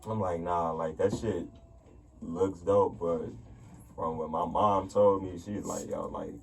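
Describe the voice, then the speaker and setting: A man's voice: deep voice, lively intonation, slow, vocal fry. A man born in Turkey and raised in the US, a podcast guest telling stories in casual chat, full of fillers and acted-out quotes. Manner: rambling storytelling, filler-heavy, acts out quotes. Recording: distant mic with faint noise.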